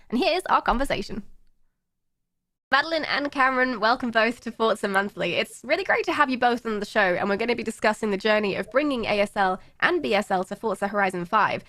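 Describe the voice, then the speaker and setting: A woman's voice: fairly high pitch, bright, forceful tone, no room sound. A woman hosting a monthly game show, reading an announcement and interviewing guests. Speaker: host. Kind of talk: presenting and interviewing.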